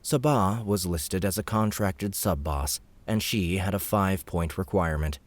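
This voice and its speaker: Deep-voiced male audiobook narrator. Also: dry recording. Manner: steady, measured storytelling. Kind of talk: scripted fantasy narration.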